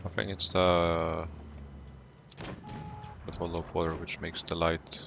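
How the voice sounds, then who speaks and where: Low gravelly Polish-accented man streaming. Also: dull audio, background noise. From Poland. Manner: calm, unhurried, greets chat viewers between plays. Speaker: game streamer. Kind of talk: casual live-stream gameplay commentary.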